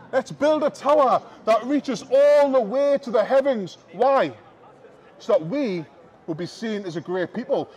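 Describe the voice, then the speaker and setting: High-voiced man preaching on the street. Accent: Northern English. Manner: preaching loudly to passers-by, calling out to the crowd. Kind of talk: open-air street sermon. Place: busy outdoor shopping street.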